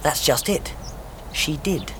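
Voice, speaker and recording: An actor playing an excitable, baffled young British husband in a radio drama. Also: male voice, fairly high pitch, slow, slight background noise, exaggerated voice. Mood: astonished.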